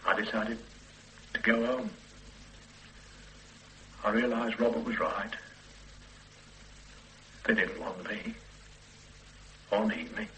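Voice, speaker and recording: Regretful middle-aged suspect, radio play, halting, bright tense tone, faint hiss. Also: male voice, fairly low pitch, fairly even intonation, poor audio. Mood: weary, halting. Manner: halting, hesitant confession with quiet weary asides. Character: ordinary middle-aged man, weary, evasive, regretful. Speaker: murder suspect under questioning. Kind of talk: 1970s-80s radio play acting.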